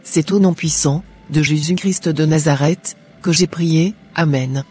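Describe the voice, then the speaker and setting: Man voicing a husky, mellow devout daughter. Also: on the high side, measured pace. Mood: pleading prayer. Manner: earnest, prayerful. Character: devout, worried daughter of a sick mother. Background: faint music.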